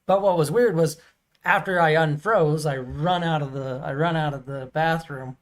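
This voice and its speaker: Co-host, man, loud carrying voice, rambling storyteller, slightly high. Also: unhurried. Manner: loud voice that carries; rambling, many fillers and restarts. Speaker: podcast co-host. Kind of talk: casual storytelling chat.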